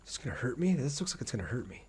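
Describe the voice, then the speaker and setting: Casting: man with a mid-pitched voice for casual gameplay streaming, chatty, conversational, thinks aloud. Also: big pitch swings, rapid-fire, darkish tone. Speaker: game streamer reading viewer chat. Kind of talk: casual live gameplay commentary.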